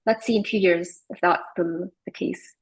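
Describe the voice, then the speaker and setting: A woman in her forties, from Iraq, talking reflectively as an interview guest, often pausing with fillers and restarting her sentences. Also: on the low side, steady tone, background noise. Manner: thoughtful, many fillers and restarts.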